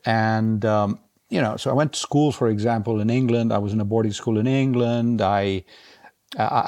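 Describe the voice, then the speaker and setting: A man's voice: lowish voice, a little mellow. A man who grew up across South America and Europe, talking casually and a little hesitantly as an interview guest. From grew up across South America and Europe, born in Brazil. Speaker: interview guest, head of quantitative investing. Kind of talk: casual conversational storytelling.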